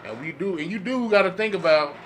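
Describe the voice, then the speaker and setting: A man in a casual group discussion, speaking haltingly in slang and often saying you know. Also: high voice, lively intonation, a bit bright, a little hiss or hum. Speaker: podcast panelist. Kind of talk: casual opinionated group chat.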